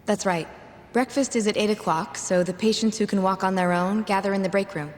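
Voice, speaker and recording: A woman's voice: medium pitch, fairly even intonation, a little fast, close to an everyday voice. An actress playing a hesitant, polite female hospital worker in a video game.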